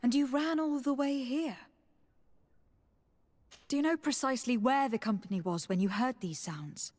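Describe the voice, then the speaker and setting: A woman's voice: sits high, normal speed, darkish tone. An actress playing a young noblewoman envoy in a video game, composed, determined and commanding. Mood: commanding, probing. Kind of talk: video game cutscene acting.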